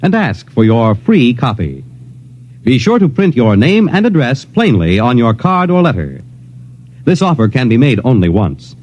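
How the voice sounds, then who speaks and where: Animated American male radio announcer. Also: mid-range voice, muffled recording. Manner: formal, clearly enunciated. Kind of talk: scripted 1950s radio promotional announcement.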